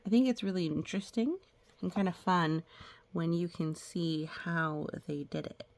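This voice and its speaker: A woman reading a children's picture book aloud, with playful sound effects and casual, gentle explanatory asides. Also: fairly low pitch, moderate pace. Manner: explains gently to young listeners.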